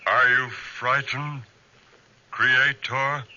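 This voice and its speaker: An actor playing a huge man-made monster, mournful and menacing, in halting 1940s radio horror style. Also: mid-range voice, steady tone. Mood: slow menace. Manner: halting, heavily deliberate delivery. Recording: noisy, muffled 1940s radio recording.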